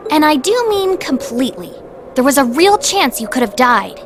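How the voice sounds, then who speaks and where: A woman voicing a sassy female cat familiar in a video game, glib, expressive and quick to take offense. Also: high-pitched, background noise. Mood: exasperated.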